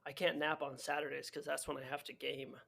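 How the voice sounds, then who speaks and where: A man with a gravelly, fry-heavy voice co-hosts a podcast with his brothers. He teases them and drops dry jokes in a relaxed, casual way. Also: fairly high pitch, brisk. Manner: dry joking, teasing. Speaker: podcast co-host. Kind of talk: casual comedic podcast banter.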